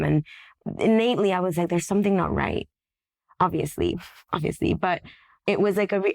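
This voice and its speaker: A woman's voice: on the low side, gravelly, dry recording, studio quality. A woman from Venezuela speaking as a podcast guest, reflecting on personal experience in an earnest, searching way. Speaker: podcast guest, shamanic practitioner. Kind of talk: reflective personal storytelling in conversation.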